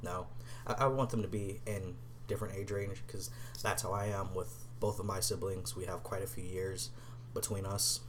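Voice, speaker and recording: A young man with a fairly low voice talks casually to the camera in a soft, hesitant way. He fills his answers with ums and restarts and sometimes sinks to a near whisper. A faint hiss of noise sits under the voice. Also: fairly even intonation, moderate pace. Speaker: vlogger. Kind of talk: casual vlog answering tag questions.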